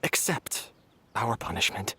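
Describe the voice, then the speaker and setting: An actor playing a resigned, apologetic young man with a husky, breathy voice, contrite and earnest in a dramatic video game style. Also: on the low side, very animated, measured pace, bright, forceful tone, slight background noise, full character voice.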